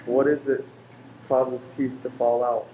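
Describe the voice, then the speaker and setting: A man's voice: mid-range voice, level tone, unhurried, dark tone, dull audio, natural voice. A man playing a pushy street salesman in a radio play, delivering declamatory, bossy sales patter. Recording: echoey room with faint noise.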